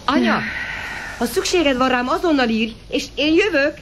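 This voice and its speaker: A woman's voice: sits high, slight background noise. A woman playing an eager, earnest schoolboy in a Hungarian radio play. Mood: earnest, concerned. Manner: excitable, earnest. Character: Emil, eager, dutiful schoolboy devoted to his mother.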